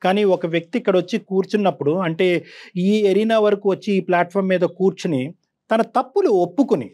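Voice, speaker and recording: A middle-aged man counsels on a family dispute show. His voice sits fairly high and moves quickly. He explains his points at length, slipping English words in. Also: animated, darkish tone. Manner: measured, explanatory, mixes English words into advice.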